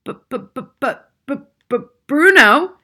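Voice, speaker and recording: A woman reading a story, voicing a pompous, indignant English father who blusters and shouts. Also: high-pitched, expressive, takes it slowly, pitched above the performer's own voice, exaggerated voice. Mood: stammering, shocked.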